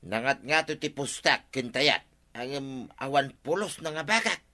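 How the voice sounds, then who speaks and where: A man's voice: medium pitch, lively intonation, normal speed, bright, forceful tone. A man playing a boastful Ilocano village gambler. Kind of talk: Ilocano radio comedy drama acting.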